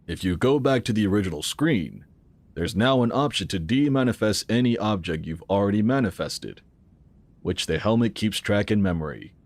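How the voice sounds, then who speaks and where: A calm, assured founder in a sci-fi audio drama. His voice is low and swings expressively as he explains things in measured phrases, recorded close and dry. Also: dry recording. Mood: explanatory, assured. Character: calm, assured male founder of a futuristic tech organization. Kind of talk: scripted audio drama acting.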